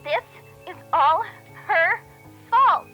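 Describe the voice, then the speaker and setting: A woman voicing a spoiled, scheming teenage rich girl with a high, whiny, sneering voice. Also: expressive, a little slow, highs cut off, faint music behind. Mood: hateful. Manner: sneering, self-pitying.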